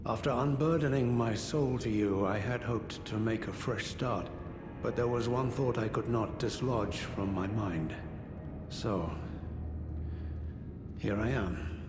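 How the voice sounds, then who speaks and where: Fantasy game knight: deep, formal, measured, weighed down by remorse, echoing in a big space over score. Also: male voice. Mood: solemn, regretful. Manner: formal, measured, archaic courtly phrasing. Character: grave, courteous former knight, male, burdened by regret. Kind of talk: fantasy video game dialogue. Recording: echoey hall reverb under game music.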